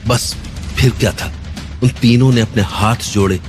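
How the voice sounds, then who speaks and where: A man's voice: medium pitch, fairly even intonation, measured pace. A man narrating a cartoon horror story in a scripted, dramatic and suspenseful way. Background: faint background music.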